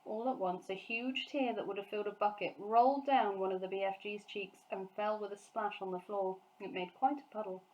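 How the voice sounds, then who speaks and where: A woman's voice: mid-range voice, fairly even intonation, fairly quick, hardly any pauses, darkish tone, dull audio. A woman teacher reading a children's storybook aloud as its narrator.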